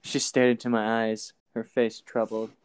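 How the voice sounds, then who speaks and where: A man's voice: mid-range voice, little pitch movement, moderate pace, clear, resonant tone. A man co-hosting a read-along show, joking sarcastically and reading lines aloud theatrically. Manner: jokey, sarcastic. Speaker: co-host of a group read-along commentary show.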